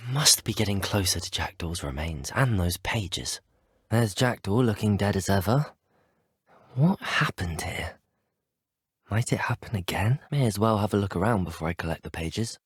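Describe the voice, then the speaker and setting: Husky, well-spoken young British student wizard. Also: male voice, on the low side, lively intonation, moderate pace, no room sound, studio quality. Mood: wry, uneasy, then hushed wonder. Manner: polite, well-spoken, formal. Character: polite, curious, brave teenage student wizard. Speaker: video game player character. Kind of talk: scripted video game dialogue.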